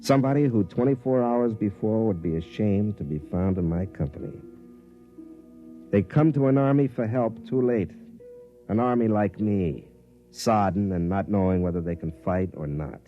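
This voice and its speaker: An actor playing a cynical middle-aged American career soldier in a 1950s radio drama, plain-spoken and brooding. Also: male voice, lowish voice, normal speed, narrow, tinny audio, soft background music, lightly acted. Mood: bitter, brooding. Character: weary, cynical career soldier, twenty years in army. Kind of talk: 1950s radio drama acting, first-person narration. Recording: old band-limited 1950s radio recording.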